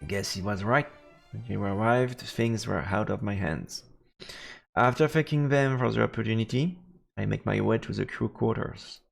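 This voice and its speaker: A man's voice: fairly low pitch, normal speed, creaky. A man reading a visual novel aloud as dramatic narration on a game stream. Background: game music.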